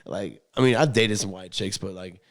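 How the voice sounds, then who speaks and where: A man's voice: lowish voice, normal speed. A young man chatting casually as a podcast host, telling rambling stories in slangy, profane talk. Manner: slangy, profane, animated when acting out quotes. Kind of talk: casual rambling storytelling chat.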